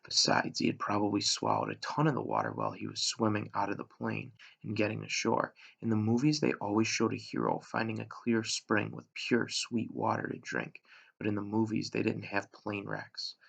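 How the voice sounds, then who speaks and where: A man with a gravelly voice reading a children's novel aloud, measured, with dramatic pauses and emphasis. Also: fairly low pitch, fairly bright tone, dull audio. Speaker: elementary school reader, story narrator.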